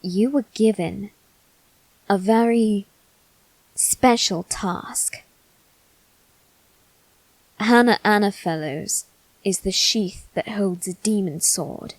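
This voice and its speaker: A woman's voice: medium pitch, takes it slowly, long pauses, dry recording, low-quality recording. A woman voicing a haughty, bitter young noble boy in an ASMR roleplay, speaking formally and with cold condescension. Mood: scornful, measured. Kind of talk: ASMR character roleplay, scripted monologue.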